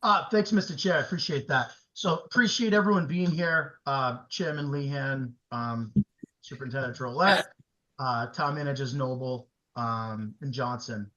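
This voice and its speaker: A man speaking as a town board member at a public meeting, earnest, with many pauses and fillers. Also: medium pitch, sharp tone, muffled recording.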